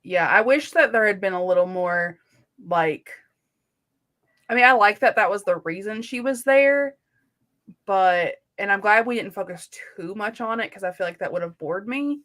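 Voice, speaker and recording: A woman's voice: mid-range voice, moderate pace, a bit bright. Informal woman chatting about books. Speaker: book podcast co-host. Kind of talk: casual book discussion.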